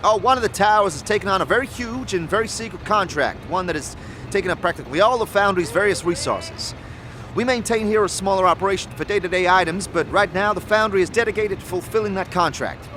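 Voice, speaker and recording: A man voicing a brisk, no-nonsense, muscular horned forewoman in a fantasy audio drama. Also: high voice, lively intonation, bright, forceful tone, slight background noise, voice pushed up. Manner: bossy, no-nonsense, briskly instructing.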